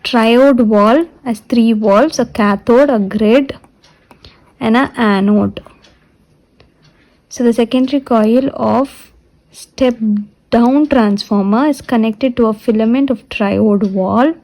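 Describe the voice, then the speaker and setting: A woman teaches a technical lesson in a somewhat high-pitched voice with a dark, relaxed tone. She goes through the material step by step and deliberately restates each point. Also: rough recording. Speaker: physiotherapy lecturer. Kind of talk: step-by-step technical lecture explaining a diagram.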